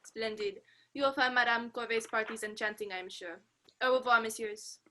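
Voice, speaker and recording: A woman playing a smoothly polite, sly male French police inspector, courteous and formal, in a radio play. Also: a little high, moderate pace, pushed and bright, a little hiss or hum. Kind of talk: 1940s radio play acting.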